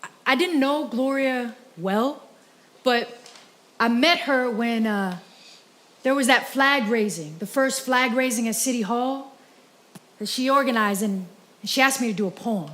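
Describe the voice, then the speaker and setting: A woman's voice: fairly high pitch, a little slow, long pauses, fairly bright tone. A woman poet performing spoken word with rhythmic emphasis, and speaking casually as herself. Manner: rhythmic, emphatic poetry delivery. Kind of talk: spoken word poetry and stage monologue.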